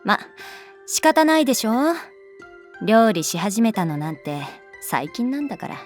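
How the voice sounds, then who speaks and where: A woman's voice: mid-range voice, big pitch swings, measured pace, faint music behind. A woman playing a laid-back adult schoolteacher in a Japanese voice drama, talking in a casual, nonchalant, teasing way. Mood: wry, teasing. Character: wry, laid-back adult female schoolteacher, quietly indulgent. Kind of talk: Japanese voice drama acting, natural dialogue.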